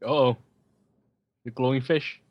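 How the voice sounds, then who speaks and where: A man giving casual, joking running commentary on a film as a host, with sardonic asides and halting, repeated words. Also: mid-range voice, steady tone, no echo, muffled recording.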